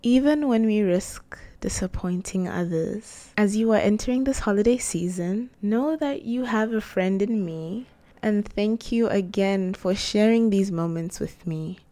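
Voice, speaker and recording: A woman in her twenties hosting a solo podcast, chatty and animated, talking straight to her listeners and noticeably losing her voice. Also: mid-range voice, unhurried. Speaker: podcast host.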